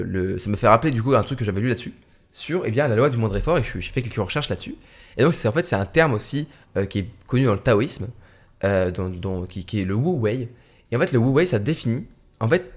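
A young man hosting his student podcast, talking casually and tiredly late at night, with lots of hesitations and restarts. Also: medium pitch. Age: young adult. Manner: hesitant, many fillers and restarts. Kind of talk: casual solo podcast talk.

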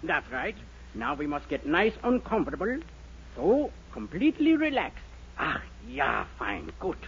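A man's voice: on the high side, huge pitch swings, a little slow, a bit bright, heavily acted. A man playing a bumbling, cheeky fake psychoanalyst in a 1940s radio comedy, with a mock German accent and muddled foreign phrases. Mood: breezy mock authority. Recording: noisy, muffled old 1940s radio recording.

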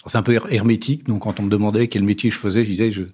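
A man's voice: fairly low pitch, little pitch movement, very fast, a little mellow, husky. A man in his sixties from Paris, a company president answering questions on a business radio show with confident talk broken by many fillers. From Paris, France. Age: 60s. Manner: confident, hesitant with frequent fillers.

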